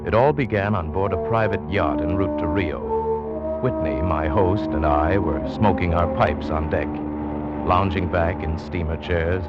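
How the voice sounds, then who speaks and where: An actor playing a confident, hearty and dismissive big game hunter in a 1940s radio drama. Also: male voice, low-pitched, measured pace. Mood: measured storytelling. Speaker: narrator and lead actor. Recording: dull old 1940s radio recording, music.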